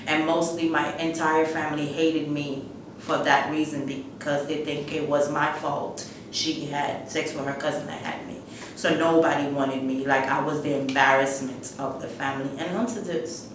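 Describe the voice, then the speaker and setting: Middle-aged woman from Guyana, low and flat delivery, halting personal testimony, distant mic with clear background rumble. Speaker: interviewee telling her life story. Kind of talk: personal testimony, unscripted storytelling. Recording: echoey room, distant mic, rumbling noise.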